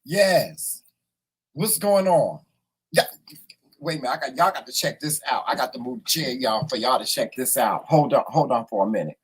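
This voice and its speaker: A hoarse man hosting a casual morning livestream, chatting and teasing his viewers in a folksy way. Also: mid-range voice, animated, normal speed. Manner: folksy, teasing, talks to the chat; repeats words and restarts often. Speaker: morning show host.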